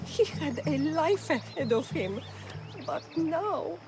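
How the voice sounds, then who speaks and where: A woman's voice: sits high, expressive, takes it slowly, exaggerated voice. An actress playing an anguished mother pleading for her captured son in a video game cutscene. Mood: grieving. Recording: music behind.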